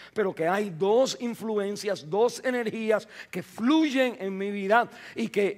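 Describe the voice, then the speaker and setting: High-voiced pastor preaching with rising bursts. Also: male voice. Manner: preaching with rising bursts of emphasis, frequent repetitions and restarts. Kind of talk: sermon. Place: church sanctuary.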